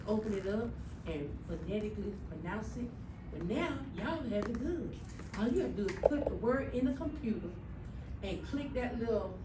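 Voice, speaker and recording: A woman's voice: a little high, noisy background. A woman teaching a middle school class, emphatic and checking in with students often. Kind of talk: classroom instruction. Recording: echoey classroom, mic far off.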